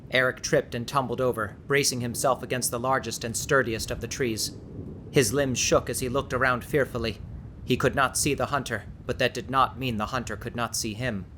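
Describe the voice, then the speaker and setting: Male narrator, dramatic audiobook read; mid pitch, crisp forceful tone, faint background rumble. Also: fairly even intonation, moderate pace.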